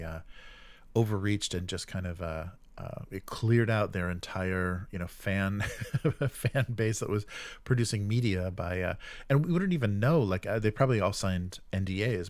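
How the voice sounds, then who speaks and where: Male livestream host, low pitch, dry close sound, casual, opinionated, halting delivery. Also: no echo. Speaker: live show host.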